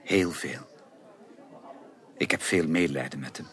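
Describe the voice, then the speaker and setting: An actor playing a courteous, composed and kindly gentleman in a Dutch radio drama, with polite, formal phrasing. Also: very deep voice, measured pace, a faint crowd. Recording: radio drama with loud music behind.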